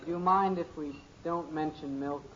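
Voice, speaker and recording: An American young man playing a cheeky, excitable teenage boy in a 1950s radio sitcom. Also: a little high, normal speed, over a noisy crowd, natural voice. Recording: muffled old radio recording, loud noise and audience.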